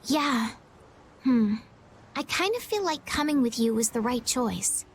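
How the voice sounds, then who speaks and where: Earnest high-voiced young noblewoman. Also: lively intonation, measured pace, slight background noise. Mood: reflective. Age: young adult. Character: earnest, gentle young noblewoman, impulsive and self-critical. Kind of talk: video game voice acting.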